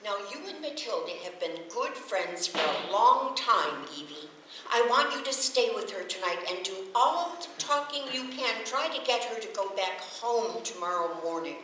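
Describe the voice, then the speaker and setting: An actress playing a steady, homely wise older mother in an old-time radio soap, with an American accent and an earnest, plain-spoken manner. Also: mid-range voice, normal speed, lightly acted. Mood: earnest, concerned. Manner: homespun, earnest, expressive. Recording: echoey, distant, hissy old radio broadcast.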